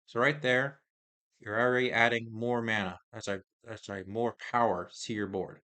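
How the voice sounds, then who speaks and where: Bright-voiced man, going hoarse. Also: lowish voice. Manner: halting, hesitant, many pauses and restarts. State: voice getting hoarse. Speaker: card game reviewer. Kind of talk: casual card review, reading card text aloud.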